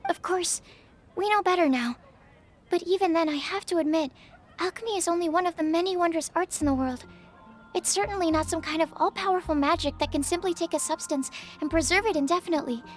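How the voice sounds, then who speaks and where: A woman's voice: extremely high pitch, brisk. A woman voicing a timid, polite young female alchemist who stammers a little, in a game cutscene. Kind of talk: video game cutscene dialogue. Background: game music.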